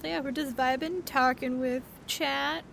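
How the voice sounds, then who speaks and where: A woman's voice: high voice, steady tone, measured pace, creaky, fairly bright tone, a little hiss or hum. A young adult woman streaming live, chatting casually and giggling often. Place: virtual reality convention, talking from home.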